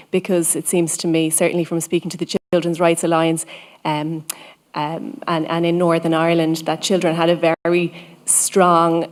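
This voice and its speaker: A woman, a political party representative, asking an earnest, measured question at a conference. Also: fairly low pitch.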